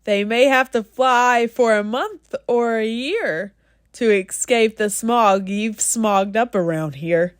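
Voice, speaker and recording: A woman voicing a grumpy old creature in a children's storybook, scolding and indignant in a croaky, congested voice. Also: on the high side, slow pace, no room sound, studio quality. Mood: mournful. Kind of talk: children's storybook read-aloud.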